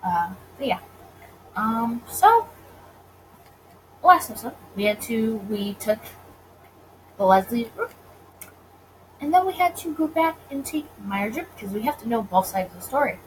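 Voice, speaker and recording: Animated, higher-voiced woman gamer. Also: unhurried, long pauses, poor audio. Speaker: gaming video creator. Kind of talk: casual let's play with read-aloud narration. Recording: faint background noise.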